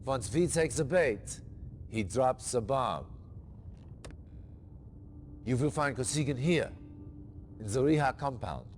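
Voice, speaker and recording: An actor playing a solemn senior male officer delivering a mission briefing in a game cutscene, with dramatic pauses. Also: medium pitch, animated, a little mellow, slight background noise. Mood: grave. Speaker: military commander. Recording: long echoing reverb.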